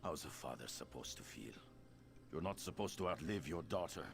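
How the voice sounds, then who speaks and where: An actor playing a gravelly bereaved father mourning his daughter in a video game. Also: a bass voice, lively intonation, normal speed, full character voice. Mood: heartbroken. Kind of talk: video game character dialogue. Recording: quiet voice under game music.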